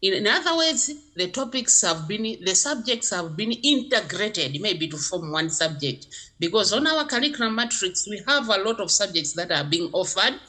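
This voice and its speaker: A woman's voice: medium pitch, moderate pace. A woman from Malawi, a geography teacher giving emphatic interview answers. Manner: emphatic, animated explaining.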